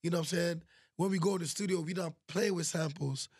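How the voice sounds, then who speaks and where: Guest musician from Nigeria, man in his thirties, husky, high, animated storyteller. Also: fast, no room sound, studio quality. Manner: animated storytelling, keeps saying "you know what I'm saying". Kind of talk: casual interview chat.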